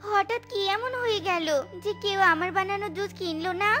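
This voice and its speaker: Woman voicing a very high-pitched honest mother bird. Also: fairly quick. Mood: upset, determined. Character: honest, hardworking mother bird selling juice. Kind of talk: children's cartoon voice acting. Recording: music under the voice.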